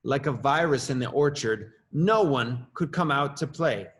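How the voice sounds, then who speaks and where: A middle-aged man, an assistant principal, reading his own children's story aloud with lively rises in pitch. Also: a little slow. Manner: expressive, lively rises on questions and exclamations.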